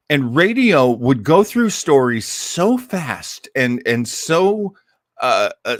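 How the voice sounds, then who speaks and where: Animated, unhurried male storyteller, close dry sound. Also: mid-range voice, dry recording. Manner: dramatic storyteller, emphatic, with drawn-out words and repetition for effect. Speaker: host of an old-time radio listening show. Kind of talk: casual live storytelling introduction to a radio classic.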